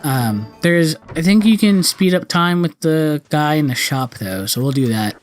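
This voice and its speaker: A man's voice: a little high, lively intonation, unhurried. A man giving casual let's-play commentary as the host, rambling loosely in slangy speech and correcting himself as he goes. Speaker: gaming video host. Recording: game music behind the voice. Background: video game music.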